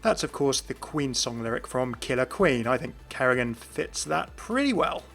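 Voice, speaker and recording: A man's voice: medium pitch, very animated, moderate pace, gravelly, a bit bright. A man giving scripted, explanatory commentary as the host of a gaming video. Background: background music.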